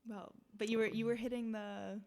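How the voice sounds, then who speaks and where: A woman's voice: fairly high pitch, little pitch movement, moderate pace, darkish tone. A young adult woman, a comedian guest, chatting casually and rambling with lots of filler words. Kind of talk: casual podcast chat.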